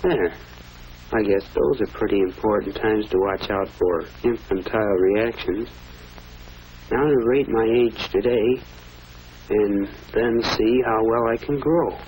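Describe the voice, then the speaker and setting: Earnest American schoolboy, old film hiss. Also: male voice, fairly low pitch, unhurried, a little mellow. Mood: thoughtful. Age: teenager or young adult. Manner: earnest, deferential. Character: earnest, polite teenage American schoolboy, self-critical. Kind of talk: 1940s educational film acting. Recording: noisy old 1940s film soundtrack.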